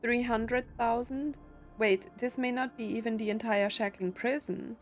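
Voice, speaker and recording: A woman's voice: on the high side, steady tone, measured pace. A woman gameplay streamer, reacting casually and reading game text aloud, with faint music behind her.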